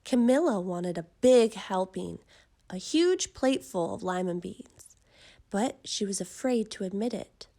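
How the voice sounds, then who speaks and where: A woman reading a children's story aloud with expressive, deliberate and dramatic phrasing. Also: mid-range voice.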